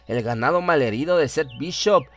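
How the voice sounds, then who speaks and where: A man's voice: fairly high pitch, fairly quick, fairly bright tone. A man narrating a scripted horror story in a solemn, dramatic storytelling manner.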